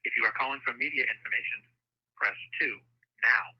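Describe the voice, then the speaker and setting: A man's voice: medium pitch, brisk, breathy edge. A man with an American accent reading a scripted recorded menu over a telephone as an automated announcer. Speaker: automated phone line announcer. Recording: muffled phone line on speaker.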